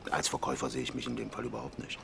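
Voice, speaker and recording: An actor playing a middle-aged conservative politician in a radio drama, reserved and courteous, speaking in formal, hesitant phrases. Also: male voice, very deep voice, steady tone, racing, gravelly, fairly bright tone, slight background noise, heavily acted. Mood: courteous, probing. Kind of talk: naturalistic radio drama dialogue.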